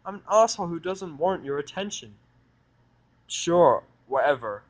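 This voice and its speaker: A man playing a grumpy, defensive, sarcastic character with a gruff, exasperated, blunt manner. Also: fairly high pitch, expressive, measured pace, pitched above the performer's own voice.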